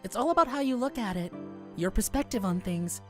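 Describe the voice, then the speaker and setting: Woman voicing a shy, higher-pitched gentle forest boy. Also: animated, fairly quick, soft background music, lightly acted. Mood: gentle, earnest. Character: shy, gentle young male forest dweller named Fawn.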